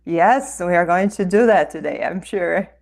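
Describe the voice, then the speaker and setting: Female interview guest from Romania; low-leaning, gravelly voice, earnest and effusive delivery. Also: normal speed, a little mellow. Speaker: interview guest, author and life coach. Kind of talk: conversational interview answers.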